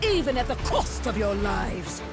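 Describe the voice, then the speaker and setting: A woman's voice: mid-range voice, sing-song, unhurried. An actress playing a haughty, imperious pirate queen in a video game, with a pompous, theatrical, commanding manner. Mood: cold fury. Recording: loud music behind.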